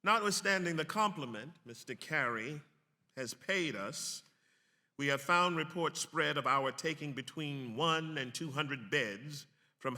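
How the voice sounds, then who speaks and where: A man's voice: fairly high pitch, sharp tone. A man giving a grave, declamatory dramatic reading of a historical text, as an actor on a stage. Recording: echoey hall with long reverb.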